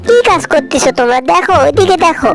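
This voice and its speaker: High-voiced woman dubbing a cartoon. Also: animated, fast talker. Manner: exclaims often, fretful and scolding. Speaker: fan dubber voicing all cartoon figures. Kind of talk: solo fan dub of a cartoon. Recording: faint music underneath.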